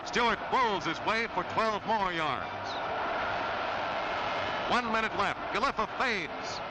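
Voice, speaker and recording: A man's voice: sits high, expressive, slow pace. An American man delivering punchy, dramatic sports commentary in the style of a 1940s newsreel. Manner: punchy, dramatic present-tense phrasing. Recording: vintage newsreel soundtrack, very noisy. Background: loud noise.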